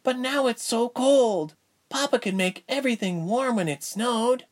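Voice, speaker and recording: Casting: small girl, sad and earnest, high-pitched played by a male narrator, for a storybook. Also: unhurried. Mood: sad, worried. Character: earnest, grieving little girl missing her mama.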